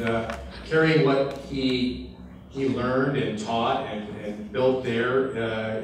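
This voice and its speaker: A man introduces a guest lecturer in a hesitant, filler-laden way, his voice staying fairly flat. He sounds distant from the microphone in a very echoey room. Steady background noise sits under his words. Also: lowish voice. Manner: hesitant, many fillers and restarts. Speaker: fellowship program director introducing a speaker. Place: lecture hall.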